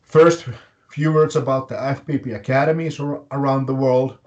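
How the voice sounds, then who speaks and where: A man with a Finnish accent hosting a live webinar, speaking in short, halting, deliberate phrases. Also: mid-range voice, fairly even intonation, darkish tone, muffled recording. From Finland. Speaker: webinar host and fitness academy director.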